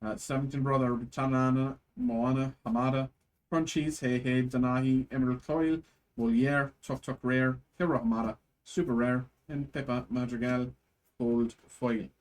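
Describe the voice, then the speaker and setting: A man's voice: mid-range voice, steady tone, normal speed, low-quality recording. A man hosting a live card break in a put-on Irish accent, rattling off card names from each pack.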